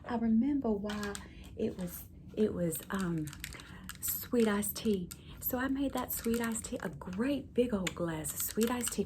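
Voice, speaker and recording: A woman's voice: medium pitch, noisy background, lightly acted. Woman doing a doting Southern lady, whispery. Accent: American Southern. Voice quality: soft, often whispered. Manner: rambling, folksy storytelling with endearments. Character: chatty, doting Southern lady comforting a friend. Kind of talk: ASMR roleplay.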